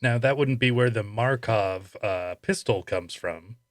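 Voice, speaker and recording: A man hosting a casual comic interview, asking playful questions in a deadpan way. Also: fairly low pitch, measured pace, no echo, studio quality. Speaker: podcast host, interviewer.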